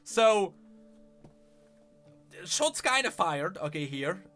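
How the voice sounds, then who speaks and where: Male gaming streamer, slightly high voice with lively swings, casual and conversational, music behind him. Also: drawn-out words. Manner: conversational, thinking aloud with repeated rhetorical questions and emphatic repeats. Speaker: streamer and host of a gaming news channel. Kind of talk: casual live-stream commentary on gaming news. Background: background music.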